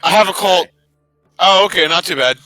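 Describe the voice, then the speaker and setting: A man jokes casually as a player in a tabletop game. His voice is high and very sharp, with a dry sound and faint music behind it. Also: normal speed, no echo, rough recording. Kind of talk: joking casual banter.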